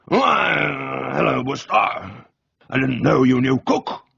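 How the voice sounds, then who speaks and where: A man's voice: medium pitch, lively intonation, slow, creaky, a bit bright, slightly rough, lo-fi sound, full character voice. A man playing a friendly, absent-minded older army major, genial and hesitant, in a radio comedy reading. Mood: genially vague.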